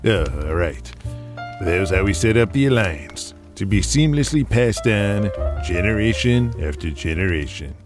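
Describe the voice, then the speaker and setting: A man's voice: on the low side, lively intonation, normal speed, over music, lightly acted. A man playing a resolute elderly father and wrestling promoter who reminisces firmly, in an audio drama. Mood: earnest. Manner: reminiscing, firm.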